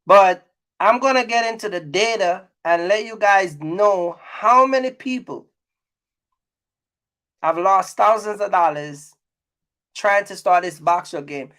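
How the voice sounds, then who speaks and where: A man with a distinctly high voice talks to his viewers as a vlog host. His delivery is casual and colloquial, full of opinion. He leans on repetition to press each point emphatically. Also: takes it slowly, pauses often, bright, forceful tone. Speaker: YouTube host, trucking business creator.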